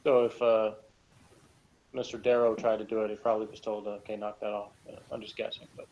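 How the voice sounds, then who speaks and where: A man's voice: fairly low pitch, little pitch movement, brisk, a little mellow, highs cut off. A man in a casual review chat, thinking aloud in a rambling, tentative way as a guest reviewer. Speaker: guest reviewer discussing TV episodes. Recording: noisy recording with background ambience. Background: noticeable noise and ambience.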